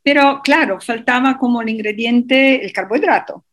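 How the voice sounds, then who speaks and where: A woman's voice: on the high side, normal speed, a bit bright. A woman guest on a radio interview, explaining at length with many hesitations and restarts. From Europe.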